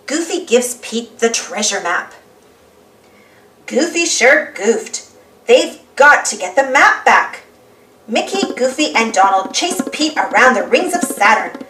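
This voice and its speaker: A woman narrating a children's storybook in an animated read-aloud style. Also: a little high, a little slow, far from the mic. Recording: roomy, voice away from microphone.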